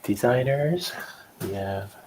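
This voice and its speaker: A man's voice: mid-range voice, expressive, takes it slowly, darkish tone. A man bantering casually with fellow panelists on a podcast. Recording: faint background noise.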